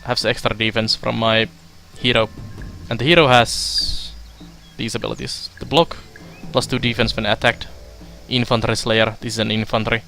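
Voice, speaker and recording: A young man from Finland giving casual let's play commentary, halting with fillers as he reads game text aloud. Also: medium pitch, little pitch movement, vocal fry, pushed and bright, faint music behind. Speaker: streamer.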